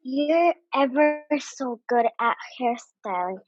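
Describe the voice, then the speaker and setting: A young schoolgirl with a high voice reads a storybook aloud. She goes slowly and carefully, halting and repeating words now and then. The sound is close and dry. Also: expressive, no room sound, dull audio. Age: child around eight or nine, primary pupil. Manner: slow, careful, stumbling reading with repeats. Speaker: pupil reading a story aloud.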